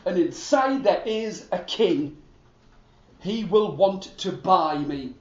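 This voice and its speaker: A man voicing a calm, wise male talking horse in a fairy tale, speaking low and hushed. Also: slow pace, reverberant, lo-fi sound. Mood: instructing.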